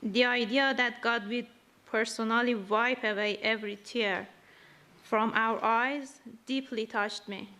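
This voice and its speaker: A woman who learned English as a second language reads her testimony slowly and carefully. Her voice sits a little high, and she keeps an earnest, steady tone. She speaks in a church. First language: not English. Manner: slow, earnest, careful reading. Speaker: church member giving a testimony. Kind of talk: reading a prepared testimony.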